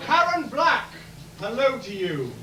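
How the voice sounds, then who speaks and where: A man's voice: extremely high pitch, big pitch swings, unhurried, very noisy, heavily acted. A man playing a grumpy, child-hating comic villain in an amateur pantomime, scolding in mock-menacing rhyme. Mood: mock menace. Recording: echoey bar, distant mic, loud crowd.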